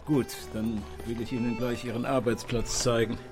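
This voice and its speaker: A man playing a kindly, courteous old gentleman and doting father in a radio drama, speaking in a measured way. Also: mid-range voice, little pitch movement, over music, close to an everyday voice. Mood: courteous, businesslike.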